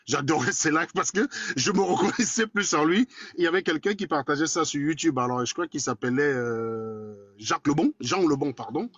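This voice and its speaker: A man's voice: a little high, lively intonation, fairly bright tone, husky, dry recording, muffled recording. A man in his forties with a Cameroonian accent, speaking bluntly and crudely in a casual live chat. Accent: Cameroonian French. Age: 40s. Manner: blunt, crude and combative, quick and talkative. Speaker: guest in a live debate.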